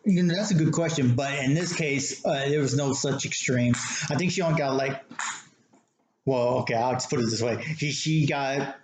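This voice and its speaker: A man with an American accent recounts stories casually, feeling his way through them in a middle-pitched voice. He sounds like a podcast co-host recorded in a roomy space with audible noise. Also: normal speed. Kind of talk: casual anecdotal storytelling. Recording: roomy echo with background noise.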